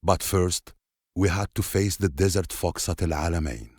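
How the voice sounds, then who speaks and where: An actor playing a grave man who recalls the war in North Africa, narrating a game cutscene deliberately over loud battle sounds. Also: sits low, husky, dry recording, studio quality. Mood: solemn, resolute. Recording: loud war ambience, artillery and vehicles behind.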